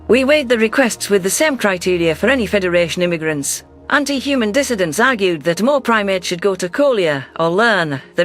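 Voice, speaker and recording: Male narrator, high pitch, scripted first-person sci-fi story, faint music bed. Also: fairly bright tone.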